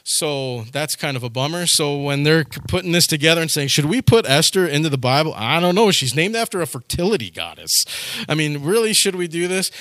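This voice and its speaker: A man's voice: on the high side, pushed and bright, no echo. Folksy conversational male preacher, dry sound. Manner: conversational, folksy, with frequent repetitions and asides. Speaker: pastor. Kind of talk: sermon.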